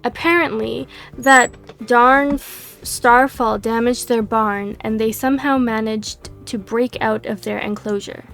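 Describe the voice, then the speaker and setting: A woman's voice: a little high, steady tone, measured pace, gravelly, fairly bright tone, soft background music. A woman reading the lines of a female monster hunter adventurer in her own plain voice, slightly losing her voice. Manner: reads dialogue aloud in her own plain voice.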